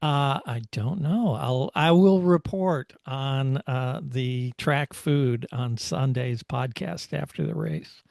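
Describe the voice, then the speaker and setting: Man, podcast host, casual chat, false starts, fry in the voice, dry recording. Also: medium pitch, slow, hardly any pauses, a little mellow, no room sound.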